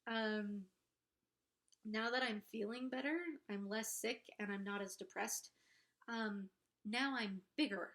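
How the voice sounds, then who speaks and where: Congested young woman, slow candid talk. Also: medium pitch, steady tone, a bit bright. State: congested, pregnant. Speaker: vlogger. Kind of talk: casual confessional talk to camera.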